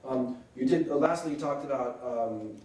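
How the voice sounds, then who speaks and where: A man's voice: medium pitch, moderate pace, a little mellow, a little hiss or hum. A man, an author, answering audience questions in an academic talk, thoughtful, often checking with "right?". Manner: thoughtful, frequent "right?" tags. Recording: roomy, mic at a distance.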